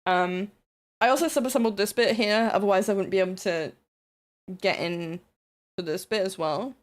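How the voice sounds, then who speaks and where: A woman's voice: medium pitch, normal speed. Woman, New Zealand accent, rambling live-stream chatter, self-deprecating, casual swearing. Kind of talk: casual live-stream chat while building models.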